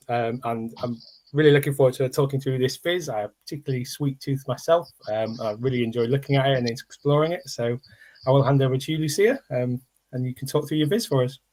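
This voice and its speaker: A man's voice: mid-range voice, rough recording. A man hosting an online meetup, making a casual introduction with some hesitant stumbles. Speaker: host introducing a guest speaker.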